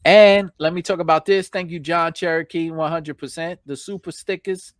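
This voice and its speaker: A man hosting a casual live stream, chatting informally with slangy shout-outs to viewers, his voice slightly hoarse from long talking. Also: fairly high pitch, fairly even intonation, moderate pace, no echo, studio quality.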